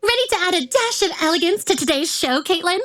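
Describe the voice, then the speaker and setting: A woman's voice: very high voice, expressive, quick pace, a bit bright. A woman voicing spirited young female trainers in short scripted video game lines. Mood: bright, showy.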